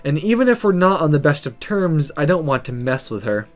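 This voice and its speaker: A gaming commentator reading a visual novel aloud and breaking off to react to the story in a casual, animated way. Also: mid-range voice, fairly quick, soft background music.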